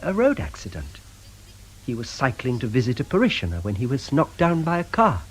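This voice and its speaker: An actor playing a mild, apologetic vicar in a radio drama, stammering politely in a husky voice. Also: male voice, mid-range voice, huge pitch swings, brisk. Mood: hesitant. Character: flustered, apologetic, mild-mannered male locum vicar.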